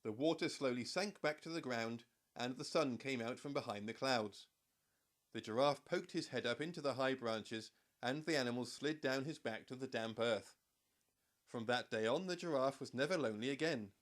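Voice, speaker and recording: A man's voice: mid-range voice, moderate pace. Man narrating a children's storybook. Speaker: audiobook narrator.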